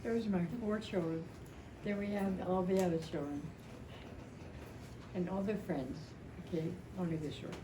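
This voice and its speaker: A woman in her seventies giving an informal, rambling and emotional personal tribute at a memorial, telling stories in a halting way. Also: on the low side. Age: 70s. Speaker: widow speaking at a memorial. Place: indoor family memorial gathering. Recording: echoey, distant mic, noisy gathering room.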